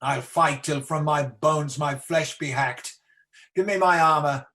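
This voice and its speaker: A man's voice: a little high, bright, forceful tone. An amateur actor playing an ageing, embattled tyrant king in a Shakespearean radio play, declamatory, defiant and world-weary. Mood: agitated, commanding. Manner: declamatory, slow, theatrical.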